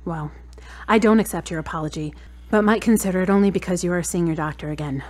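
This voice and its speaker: A woman's voice: on the low side, quick pace, lightly acted. A woman playing a proud, hurt girlfriend in an audio drama, with a cool, cutting, pointed way of talking. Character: proud, hurt girlfriend demanding a proper apology.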